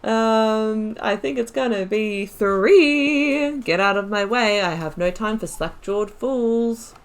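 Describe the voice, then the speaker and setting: A woman's voice: fairly high pitch, slow pace, smooth. A woman giving casual let's play commentary, chattily thinking aloud and reading dialogue choices. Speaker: gaming video host.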